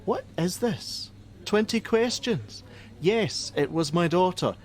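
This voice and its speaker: Curt, irritable father, lively pitch. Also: slow pace, slight background noise. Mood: irritated. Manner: curt, sarcastic. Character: irritable, evasive father working on a helpline. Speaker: helpline worker. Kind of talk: radio play acting.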